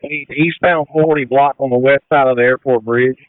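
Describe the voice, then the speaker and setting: A male fire commander speaks over a thin, narrowband radio channel. His voice is clear and middle-pitched, and he gives procedural, matter-of-fact instructions as emergency traffic. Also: fairly even intonation, a little fast, no room sound. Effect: two-way radio transmission. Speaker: fire battalion chief acting as incident commander.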